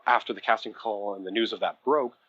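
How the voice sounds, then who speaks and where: Husky low-voiced man, podcast guest. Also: fairly even intonation, quick pace, fairly bright tone, no echo, muffled recording. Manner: enthusiastic, explanatory. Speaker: podcast guest, audio drama producer. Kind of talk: casual podcast conversation.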